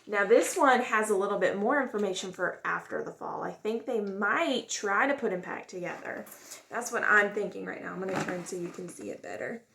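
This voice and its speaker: A woman casually presenting a picture book to children, pausing to explain and wonder aloud. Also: medium pitch, expressive, gravelly, a bit bright. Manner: pauses to explain and wonder aloud to young listeners.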